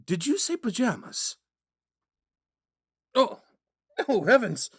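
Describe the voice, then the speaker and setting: A man playing a kind, shy young prince in a romantic ASMR roleplay, speaking gently and hesitantly. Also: very high-pitched, very animated, takes it slowly, breathy edge, dry recording, clean studio sound, heavily acted. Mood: flustered, apologetic. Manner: soft-spoken, hesitant, stammering when flustered. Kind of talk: ASMR roleplay, scripted monologue to a listener.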